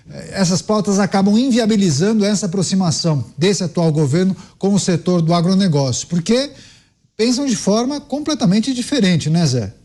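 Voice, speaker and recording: Man, talk show host, slightly high pitch, long winding questions, moderating. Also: darkish tone.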